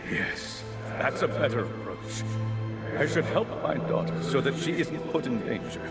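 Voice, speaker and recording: Fretting middle-aged dad, creaky voice, video game drama, protective, loud music. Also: male voice, mid-range voice, animated, normal speed. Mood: anxious, pondering. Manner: fretful, musing to himself.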